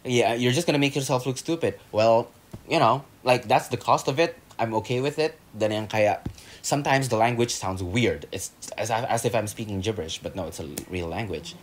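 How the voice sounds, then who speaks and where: A man's voice: mid-range voice, normal speed, a little hiss or hum. A young man from Indonesia, chatting as a podcast guest in a blunt, emphatic and encouraging way. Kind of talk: casual podcast chat.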